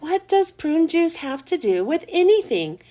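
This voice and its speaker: Woman playing storybook schoolteacher, female, high and very expressive, firm, brisk, questioning. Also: heavily acted. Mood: stern.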